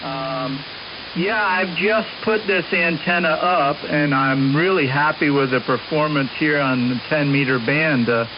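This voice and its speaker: A man's voice: on the high side, a little slow. A man chatting casually as an amateur radio operator, heard over a shortwave radio link. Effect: shortwave radio transmission. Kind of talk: casual radio contact chat, ending turns with "over". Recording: muffled, hissy amateur radio signal.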